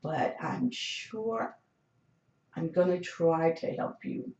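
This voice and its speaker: A hoarse host explains number charts step by step, with hesitant restarts. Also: medium pitch, takes it slowly, a little mellow. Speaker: lottery number tutorial host. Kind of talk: screen walkthrough of number charts.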